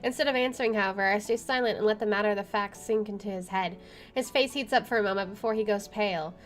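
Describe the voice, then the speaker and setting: A woman's voice: medium pitch, fast talker, faint music behind. A woman game streamer doing a visual novel playthrough, moving between reading narration and casual commentary.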